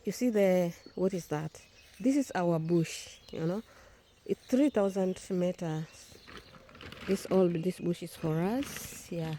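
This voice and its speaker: A woman's voice: fairly low pitch, slow, warm tone, a little hiss or hum. Chatty Swahili-speaking woman outdoors. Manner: chatty, repeats herself, restarts phrases. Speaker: vlogger. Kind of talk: casual walk-and-talk vlog. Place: outdoors in a rural garden.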